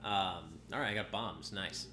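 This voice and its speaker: A man's voice: low voice, measured pace, noisy background. Slightly nasal man streaming a game. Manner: chatty, rambling, often breaks off mid-sentence. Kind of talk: casual live-stream gameplay commentary. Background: game music.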